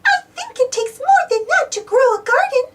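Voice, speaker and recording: Woman as a high-pitched sweet girl mouse. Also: lively intonation, moderate pace, a bit bright, distant mic. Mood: helpful, sweet. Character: sweet, helpful female cartoon mouse.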